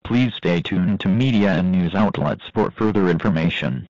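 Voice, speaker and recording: A man's synthetic voice reading an emergency alert in a flat, even manner. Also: sits low, moderate pace, no room sound, highs cut off.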